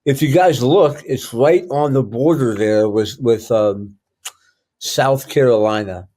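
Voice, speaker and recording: Male podcast host, relaxed and chatty, mid-pitched, rambles through numbers with filler words. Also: slow pace. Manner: chatty, loose, thinks aloud with many ums. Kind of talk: casual podcast interview.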